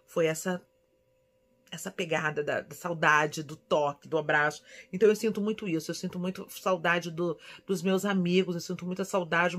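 Expressive Brazilian woman chatting affectionately. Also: lowish voice. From São Paulo, Brazil. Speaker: vlogger. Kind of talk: casual chat answering viewer questions. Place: small indoor room at home.

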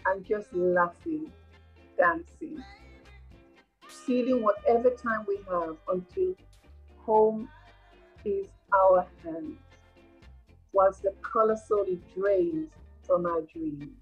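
A woman's voice: mid-range voice, slow, long pauses, slightly rough, faint music behind. An older woman with a Caribbean accent reading the part of a reflective, homesick migrant nurse in a play, over a video call. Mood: wistful, hurt. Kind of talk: rehearsed play reading, monologue. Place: indoor room at home, video call. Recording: muffled video-call audio.

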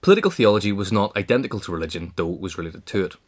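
Fast-reading man with a lowish voice. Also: big pitch swings, breathy edge. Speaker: audiobook reader. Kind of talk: scripted narration of a political history essay.

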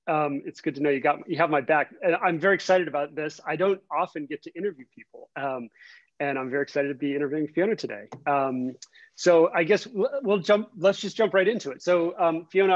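A middle-aged man interviewing a colleague on a video call from his home office, asking friendly, halting questions. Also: a little high, no echo. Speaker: company co-founder interviewer. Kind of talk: interview moderation. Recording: dry, dull video-call audio.

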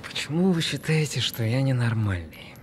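A man's voice: medium pitch, sing-song, moderate pace, a little hiss or hum, close to an everyday voice. Actor as curt, irritable, sardonic man. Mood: resigned. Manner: curt, sarcastic. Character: irritable, sardonic newlywed man woken early. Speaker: actor in an audio film. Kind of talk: naturalistic audio drama phone conversation.

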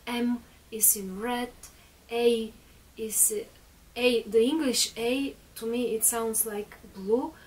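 A woman's voice: on the high side, steady tone, takes it slowly, a little mellow, far from the mic. A woman in her thirties, a native Bulgarian speaker, chatting through a makeup tutorial in a small bedroom with lots of fillers and restarts. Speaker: beauty vlogger.

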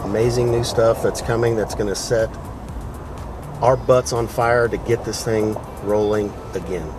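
A man's voice: fairly low pitch, steady tone, a little slow, music in the background. A middle-aged man riding along in a car, bantering playfully and laughing through his jokes. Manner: joking, playful, laughs mid-sentence. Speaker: friend on road trip. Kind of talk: casual banter. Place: passenger seat of a car.

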